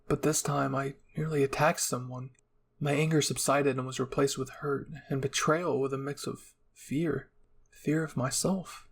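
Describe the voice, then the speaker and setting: A man's voice: mid-range voice, vocal fry, husky. A man reading an anime fan story aloud as a dramatic first-person narration. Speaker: fan fiction reader.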